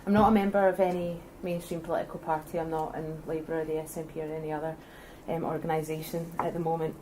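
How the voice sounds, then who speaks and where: A young woman with a Scottish accent makes her case at a public debate, earnest and forceful as she builds her argument point by point. Her voice is warm and mellow, with a faint hiss of noise in the background. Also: low voice, little pitch movement, quick pace. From Scotland. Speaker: debate speaker for independence.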